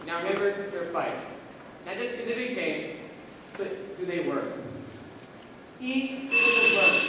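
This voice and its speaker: High male stage narrator, acted, very echoey. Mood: declaiming, grave. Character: solemn, mysterious narrator who waits and listens. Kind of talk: school stage play monologue. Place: school stage, recorded from the audience. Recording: echoey noisy hall from far off.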